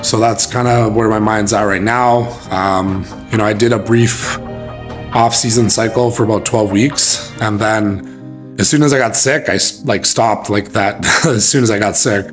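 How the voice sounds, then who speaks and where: A man in his thirties, a bodybuilding coach, chatting casually to camera in a small room, his voice a little raspy from a cold, rambling with many fillers. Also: fairly low pitch, fairly even intonation, unhurried, faint music behind. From Canada. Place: small bright room indoors.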